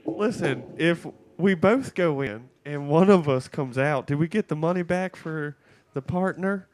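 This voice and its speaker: A man's voice: a little high, a little slow, a little mellow, lightly acted. A man voicing a fed-up wife who is casually and sweetly morbid, on an improvised prank call. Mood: deadpan scheming.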